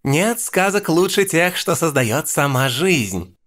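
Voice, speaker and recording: A man voicing a calm, pompous cartoon clone who speaks in solemn wise sayings. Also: fairly high pitch, slow pace, exaggerated voice. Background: music.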